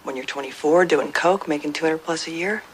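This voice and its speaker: A woman's voice: sits low, brisk, slight background noise, natural voice. A woman playing a blunt, defiant retired producer in her fifties in an audio drama, with a sardonic, bitter manner. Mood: bitter, weary.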